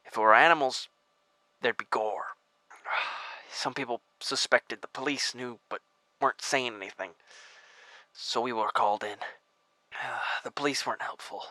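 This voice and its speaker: An actor playing a bleeding, weary former federal agent who talks in a halting, strained voice with sighs. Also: male voice, medium pitch, pushed and bright, no room sound. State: injured, weak and short of breath. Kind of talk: audio drama acting.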